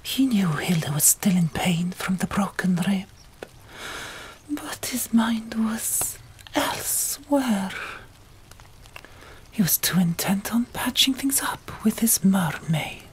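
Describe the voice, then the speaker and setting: A woman's voice: medium pitch, animated, slow, long pauses, a little hiss or hum. A woman narrating an audiobook in a whispered ASMR style.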